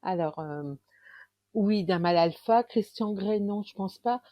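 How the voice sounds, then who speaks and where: A woman's voice: mid-range voice, no room sound, highs cut off. Hesitant woman in her fifties. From northern France. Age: 50s. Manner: hesitant, many pauses and restarts. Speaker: romance author, guest. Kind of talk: casual interview chat.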